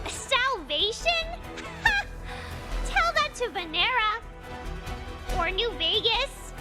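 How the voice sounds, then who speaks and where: Brash foul-mouthed young rebel princess, very high-pitched. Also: female voice, sing-song, extremely slow, over loud music, heavily acted. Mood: indignant. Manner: brash, sarcastic, crude swearing. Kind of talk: animated game cutscene acting.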